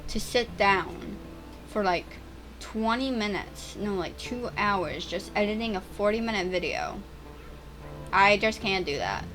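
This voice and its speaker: A teenage girl chatting and stammering through gameplay commentary on her own channel, with a voice she calls a little deeper and rougher over time. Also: lively intonation, a little slow, fairly bright tone, soft background music, poor audio. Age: teens. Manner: chatty, stammering, restarts words. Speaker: gaming YouTuber.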